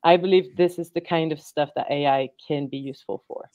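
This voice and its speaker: A woman's voice: low voice, steady tone, fairly quick, dry recording, phone-quality sound. A woman speaking as a panelist in a discussion, rambling and conversational.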